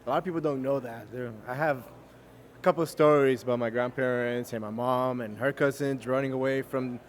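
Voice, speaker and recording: A man's voice: medium pitch, a little fast. A Cuban-born man, Spanish his first language, telling his family's story with no trace of a Cuban accent. He talks loosely and casually, restarting sentences and leaning on "uh" as he goes. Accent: American English, no Cuban accent. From Cuba. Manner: relaxed storyteller, many fillers and restarts. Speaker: guest. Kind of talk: casual interview chat.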